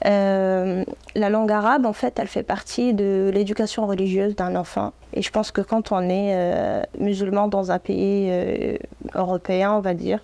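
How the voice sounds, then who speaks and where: A woman in her thirties from Morocco, an Arabic speaker, answering interview questions as an Arabic teacher in an earnest, gentle, measured way. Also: mid-range voice, flat delivery, creaky, dry recording.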